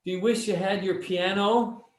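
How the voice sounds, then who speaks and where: A man's voice: sits high, fairly even intonation, normal speed. A man chatting casually with family over a video call. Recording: echoey room on video call.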